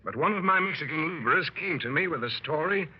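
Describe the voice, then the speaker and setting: Animated, husky 1930s radio adventurer. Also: male voice, on the high side, fast. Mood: wry storytelling. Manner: wry, animated storyteller. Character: plucky gentleman adventurer telling his dangerous exploits. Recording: muffled 1930s radio broadcast.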